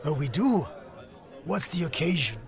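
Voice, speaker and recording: A man voicing an unhinged, theatrical clown crime boss in a motion comic, mock-polite and halting, with menace underneath. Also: a little high, sing-song, soft background music, some chatter behind. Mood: sly, playful.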